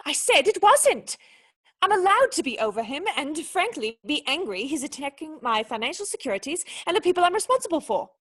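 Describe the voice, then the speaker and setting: Radio play actress for a young noblewoman: high register, formal, defensive, secretly knightly and proud. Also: very animated, a little fast, a bit bright. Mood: stubborn. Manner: earnest, protesting, ladylike formal speech.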